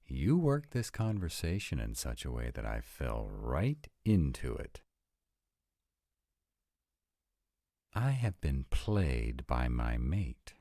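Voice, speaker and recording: A man's voice: extremely low pitch, vocal fry, mellow, dry recording, full character voice. A man playing a sarcastic, reluctant but devoted vampire mate in a scripted roleplay audio drama, with a dry manner. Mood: resigned.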